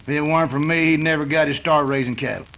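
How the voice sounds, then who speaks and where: A gravelly Old West outlaw, played by a man, sneering and menacing. The pitch is a touch high for a man, with creaky edges and rustic American West speech, in 1930s radio style. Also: steady tone, fast, a bit bright, low-quality recording. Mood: sneering, taunting. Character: menacing, sneering western cattle-rustler outlaw. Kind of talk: 1930s western radio acting.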